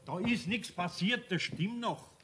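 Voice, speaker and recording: A man's voice: high-pitched, measured pace, a bit bright. A man playing a kindly, flustered older master craftsman with a Bavarian accent in a 1970s radio play, speaking in a folksy, hesitant way. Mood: relieved, apologetic. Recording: noisy old 1970s radio recording.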